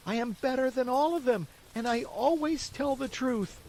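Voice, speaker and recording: A man voicing a fussy, hungry wooden boy puppet in a storybook reading, whining and pleading in a high, excitable voice. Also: normal speed, a little hiss or hum, low-quality recording.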